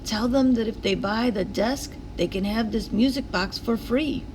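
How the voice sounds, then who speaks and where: Woman putting on a teasing, bossy boy, high-pitched. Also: moderate pace, noisy background, close to an everyday voice. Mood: cheeky. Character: teasing, bossy brother of a young girl.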